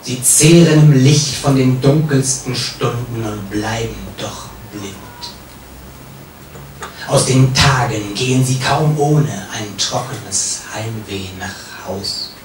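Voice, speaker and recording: A man with a mid-pitched voice recites poetry solemnly and very slowly, leaving pauses and letting line endings drop away. He is recorded live from some distance, with heavy room echo and clear background noise. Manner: solemn, measured, with pauses and falling phrase endings. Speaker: poet reading own work. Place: café, live reading.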